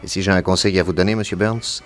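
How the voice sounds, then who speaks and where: Casting: man playing a deep-voiced private detective, composed, probing, deliberate, for a radio mystery play with light music behind. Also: steady tone, close to an everyday voice. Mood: grave, deductive. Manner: measured, deliberate questioning. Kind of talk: French radio detective drama acting.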